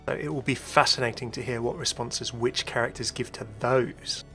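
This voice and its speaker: A man's voice: mid-range voice, steady tone, moderate pace, fairly bright tone, soft background music. A man hosting a video game lore commentary, speculating aloud and speaking to the viewer in conversational asides. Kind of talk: scripted-sounding video commentary.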